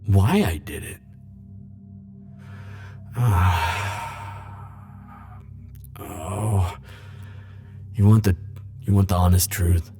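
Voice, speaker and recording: A man playing a defensive, lovelorn immortal in an ASMR roleplay, speaking hesitantly with stammers and halting phrases. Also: deep voice, warm tone, soft background music. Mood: confessional, yearning. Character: flirtatious, defensive male immortal pining for Grim Reaper. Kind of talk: ASMR roleplay monologue to the listener.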